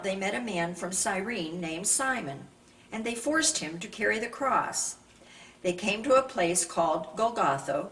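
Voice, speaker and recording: A woman reading a Bible narrative aloud from a script in a measured, deliberate way, as a scripture reader. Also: mid-range voice, a bit bright. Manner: measured, raises voice for quoted speech. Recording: distant microphone with faint background noise.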